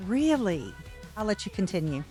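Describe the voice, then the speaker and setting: Woman, medium pitch, sarcastic reaction commentary, mocking, brief interjections, light music underneath. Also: very animated, normal speed, warm tone.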